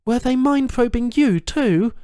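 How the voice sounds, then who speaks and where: A man's voice: extremely high pitch, expressive, measured pace, darkish tone, clean tone, dry recording, clean studio sound, pitched above the performer's own voice. A man voicing a blunt, sardonic captive woman journalist in an audiobook. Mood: tense. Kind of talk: audiobook character dialogue.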